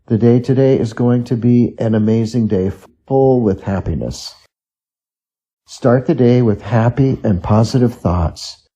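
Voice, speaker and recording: A man's voice: mid-range voice, measured pace, warm tone, slightly rough. A man reading scripted self-help and study advice aloud as narration.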